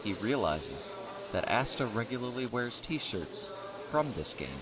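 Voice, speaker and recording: A man's voice: mid-range voice, very animated, unhurried, a loud crowd. A man reading scripted narration for an audio drama. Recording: noisy background ambience.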